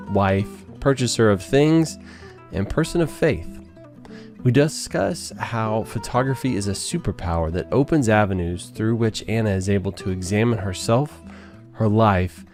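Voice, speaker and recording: A man's voice: on the low side, darkish tone. A man hosting a podcast interview, easygoing and self-deprecating in manner. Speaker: podcast host.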